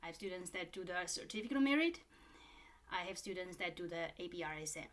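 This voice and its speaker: A woman's voice: on the low side, expressive, fast talker, fairly bright tone. Chatty piano teacher, plain woman's voice. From born in Taiwan, grew up in New Zealand. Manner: friendly and explanatory, with frequent fillers. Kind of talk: casual talk to camera answering a viewer question.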